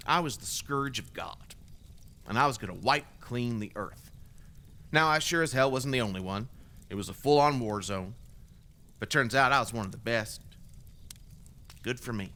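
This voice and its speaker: A man's voice: mid-range voice, pushed and bright. An actor playing a remorseful, bitter former extremist gunman giving testimony in an audio drama, in a blunt, wry, profane conversational way.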